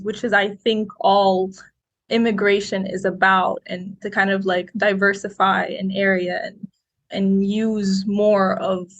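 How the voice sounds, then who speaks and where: A woman's voice: medium pitch, muffled recording. Talkative young Egyptian-raised woman. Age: 20s. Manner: talkative and outgoing; expressive, quotes people in lively voices. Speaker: guest. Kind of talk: interview answers.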